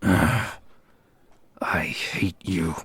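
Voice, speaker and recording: Man as a deep, husky, brooding immortal warrior. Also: a bit bright, no room sound, in a whisper, full character voice. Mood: dry, needled. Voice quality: husky, breathy. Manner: slow, brooding, formal. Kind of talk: ASMR roleplay voice acting.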